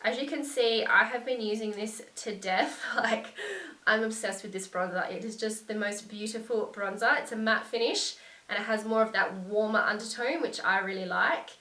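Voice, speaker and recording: A young woman talks through her favourite beauty products with gushing enthusiasm, her voice nasal and stuffed up from a lingering cold. Her tone is bright, and she sits a little back from the mic in an echoey room. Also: a little high, normal speed, far from the mic. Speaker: beauty vlogger. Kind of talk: casual product review to camera.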